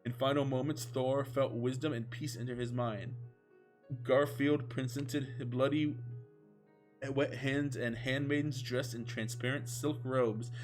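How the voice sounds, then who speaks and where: A man's voice: medium pitch, fairly even intonation, unhurried. A man reading fan fiction aloud as a comedic, mock-epic narration, sounding amused. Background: music.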